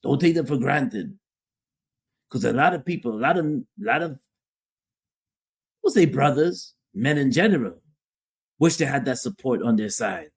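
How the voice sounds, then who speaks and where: Husky man talking it through slowly. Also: mid-range voice, expressive. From Guyana (family). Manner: repetitive, restarts and repeats phrases for emphasis. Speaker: podcast co-host. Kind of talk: casual opinion talk.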